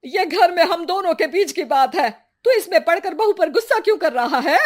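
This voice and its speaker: A woman's voice: extremely high pitch, expressive, normal speed. A woman playing a nagging, dramatic middle-aged Indian mother-in-law in a family story cartoon, complaining and wheedling theatrically. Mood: scolding.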